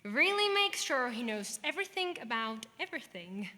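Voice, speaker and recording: A woman plays a quick, efficient young switchboard operator, her high voice swooping lively through each line. She is crisp and businesslike, and the stage hall rings around her with music underneath. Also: heavily acted. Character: brisk young female army telephone operator.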